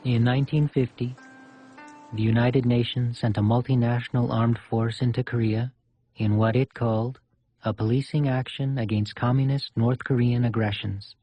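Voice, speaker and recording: A man reading scripted historical documentary narration in a formal, measured way. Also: mid-range voice, fairly even intonation. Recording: dull lo-fi audio under music. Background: clear music.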